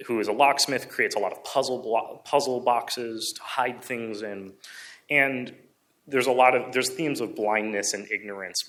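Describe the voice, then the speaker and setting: A man's voice: fairly low pitch, pushed and bright. A man giving a public presentation in an earnest, reflective way as a conference speaker. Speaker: conference speaker, amateur radio hobbyist.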